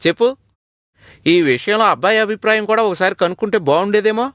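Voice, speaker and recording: Guy doing grumpy old father-in-law in a cartoon story, kinda high voice, gives in grudgingly. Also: fairly quick, a bit bright, no room sound, studio quality. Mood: doubtful, questioning. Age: older. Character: grumbling elderly husband and father-in-law, doubtful, resigned.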